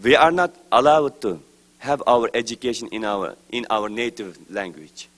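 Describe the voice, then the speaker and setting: A man from Turkestan, giving a formal prepared speech at a press event, slow, deliberate and emphatic, his phrases trailing off at the ends. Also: medium pitch, fairly bright tone. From East Turkestan.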